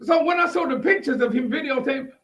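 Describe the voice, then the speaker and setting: A man co-hosting a casual live talk show, excitable and often repeating his phrases as he goes. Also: very high voice, fast.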